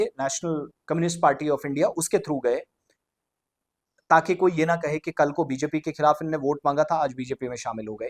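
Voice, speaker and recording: A man presents news commentary to viewers in an explanatory way, glossing formal terms in English. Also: fairly high pitch.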